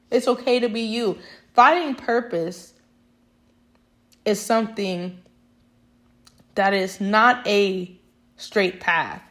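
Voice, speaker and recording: A young woman talking straight to camera in a passionate, emphatic monologue that rambles with restarts. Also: medium pitch. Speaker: vlogger.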